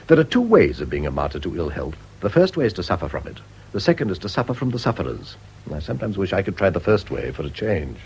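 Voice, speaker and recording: An actor playing a wealthy, middle-aged English husband in a radio drama, witty, sardonic and self-satisfied, with a drawling, epigrammatic manner. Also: male voice, deep voice, sing-song, fast talker, vocal fry, darkish tone, slight background noise, heavily acted. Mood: cynical.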